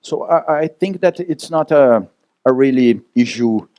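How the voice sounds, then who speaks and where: A man's voice: mid-range voice, lively intonation, unhurried, warm tone, dry recording. A man with a Brazilian Portuguese accent, speaking English as a second language, answers audience questions at a conference, hesitating often with fillers and restarts.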